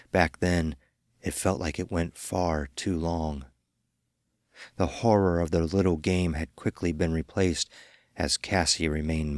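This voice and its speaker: A man narrating a scripted horror story as a dramatic, measured storyteller. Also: very deep voice, no room sound, studio quality.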